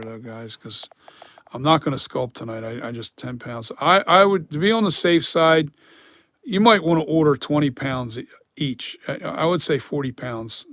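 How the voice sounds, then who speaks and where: A man with a dry, hoarse voice, talking casually on a live stream about mask making, rambling and correcting himself as he explains. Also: mid-range voice, a bit bright, no echo.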